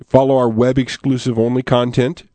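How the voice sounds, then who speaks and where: A man's voice: medium pitch, flat delivery, moderate pace, mellow, dry recording. A man co-hosting a poetry radio show, bantering jokily and giving casual plugs from a studio. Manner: jokey, bantering.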